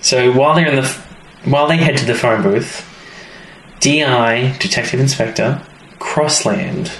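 A man's voice: mid-range voice, normal speed, creaky, fairly bright tone. A man co-hosting a podcast, recapping a story in casual, informal chat and acting out bits of dialogue. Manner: informal storytelling, acts out quotes. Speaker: podcast co-host. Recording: roomy echo, faint background noise.

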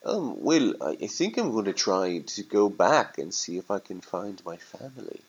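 A man's voice: on the low side, very animated, normal speed, slightly rough, lo-fi sound. A man voicing a lost, naive, frightened turkey in a story, speaking hesitantly and plaintively.

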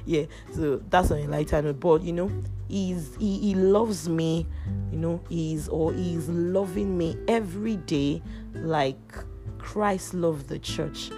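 A woman hosting a podcast, telling a personal story in a casual, loud, animated way with many restarts. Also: on the low side, takes it slowly. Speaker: podcast host. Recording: music playing underneath. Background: guitar music.

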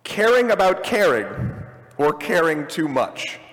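A man's voice: a little high, big pitch swings, unhurried, fairly bright tone. A man hosting and moderating a live panel discussion, talking off the cuff to move it along. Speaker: panel host. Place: convention hall, live stage panel. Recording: live panel in a reverberant hall.

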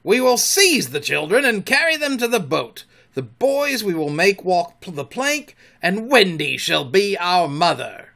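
Man playing a storybook pirate captain, menacing, dramatic, forceful, high and swooping, archaic commanding speech. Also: big pitch swings, a little slow, gravelly, hardly any pauses, heavily acted. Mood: scheming.

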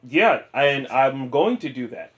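A man's voice: medium pitch, animated, a little slow. A young man from upstate New York co-hosting a podcast, talking casually and loosely, swearing and often saying "know what I'm saying". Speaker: podcast co-host. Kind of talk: casual chat.

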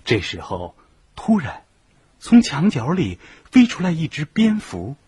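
A man narrating a children's radio play in an expressive storybook style. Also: on the high side, unhurried, slightly rough.

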